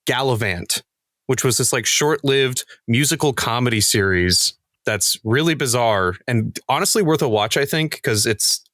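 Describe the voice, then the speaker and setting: A man's voice: medium pitch, expressive, normal speed, a bit bright, dry recording, clean studio sound. A man talking casually as a cast member in a podcast panel, telling stories with acted-out asides. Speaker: podcast cast member discussing their show.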